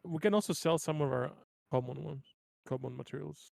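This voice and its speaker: A man's voice: fairly high pitch, brisk, creaky, a little mellow, no room sound, clean studio sound. A man chatting casually as he plays a tabletop roleplaying game.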